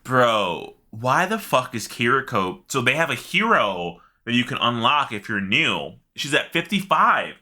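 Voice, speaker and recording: A man co-hosting a casual gaming chat, animated and slangy, swearing casually as he talks. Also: mid-range voice, a little slow, few pauses. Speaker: podcast co-host.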